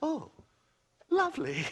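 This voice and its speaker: A man playing a nervous, pompous, eccentric professor in a video game, stammering and excitable in a condescending way. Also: very high-pitched, takes it slowly, full character voice. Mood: giddy, condescending.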